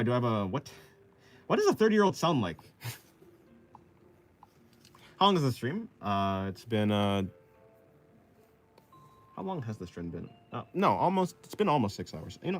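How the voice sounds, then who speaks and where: A young man in his twenties talks loosely with his viewers as he draws. His voice is a little husky, and his pitch moves around a lot. He reads comments aloud and answers them in an easygoing way. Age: 20s. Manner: laid-back, reads chat aloud and answers. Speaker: art streamer. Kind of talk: casual live-stream chat while drawing.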